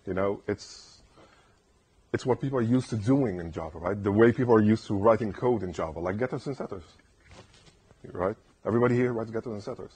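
A man giving a casual technical talk at a conference, rambling and tagging "right?" onto his points in a soft, soothing voice. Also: mid-range voice, slightly rough. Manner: rambling, keeps asking "right?". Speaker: conference speaker. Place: lecture room.

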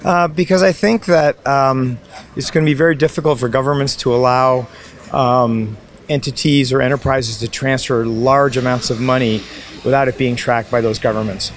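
A man's voice: mid-range voice, fairly even intonation, moderate pace. An older man giving confident opinions in a street interview on a snowy night street, into a handheld mic. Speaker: business executive. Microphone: handheld mic held by interviewer. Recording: crowd noise on street.

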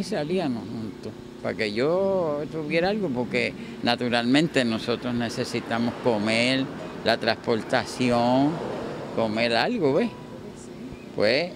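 An elderly man with a Puerto Rican accent talking casually in an interview, halting and repeating himself as he tells his story. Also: medium pitch. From Puerto Rico. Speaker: street musician. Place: outdoors on a town street. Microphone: handheld interview mic.

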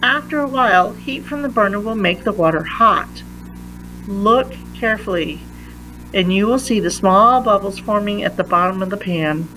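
A woman reading a children's picture book aloud in a deliberate manner, pausing between phrases. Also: medium pitch, pushed and bright, slight background noise, poor audio. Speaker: read-aloud reader.

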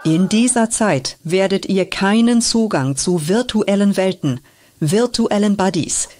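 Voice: medium pitch, measured pace, darkish tone, close to an everyday voice. A performer voicing a school principal making a formal announcement to students in a children's audio drama.

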